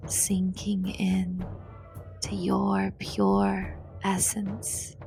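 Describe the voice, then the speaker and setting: A woman leading a guided meditation in a soothing, deliberate, breathy voice, pausing between short phrases. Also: mid-range voice, very animated, warm tone. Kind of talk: guided meditation narration, slow and breathy with whispered phrase endings. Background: meditation music.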